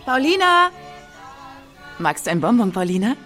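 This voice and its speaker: A woman's voice: fairly high pitch, sing-song, normal speed, a bit bright, faint music behind. An actress playing a gushing, motherly neighbour woman who dotes on people in a radio drama scene. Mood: teasing, doting.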